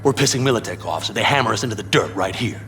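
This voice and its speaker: A stern nomad boss in a video game, scolding angrily. His lowish voice fires out words fast. He sounds a little distant, with a faint hum. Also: expressive. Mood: angry. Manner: scolding, forceful.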